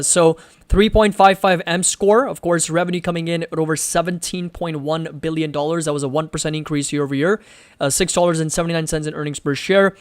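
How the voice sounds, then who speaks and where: A man's voice: fairly high pitch, no room sound. A man hosting a live stream, giving explanatory financial analysis with frequent check-in questions. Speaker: livestream host and stock analyst.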